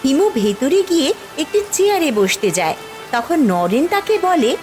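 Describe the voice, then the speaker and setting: High, lively woman storyteller. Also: moderate pace, music in the background. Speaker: narrator. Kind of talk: scripted storytelling narration for a cartoon.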